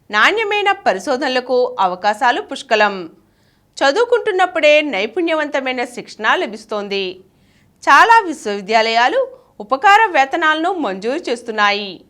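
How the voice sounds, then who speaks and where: Female narrator for scripted explainer. High register, highly varied melody. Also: fairly bright tone. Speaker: narrator reading an article for a channel.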